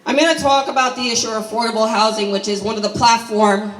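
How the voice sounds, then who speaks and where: A hoarse woman in her sixties from New York City gives an emphatic, deliberate prepared speech at a community meeting. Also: fairly high pitch, fairly even intonation. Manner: emphatic, deliberate rhetorical delivery. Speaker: community housing activist. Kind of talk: prepared public speech at a town hall. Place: large indoor community hall. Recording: echoey hall, distant microphone.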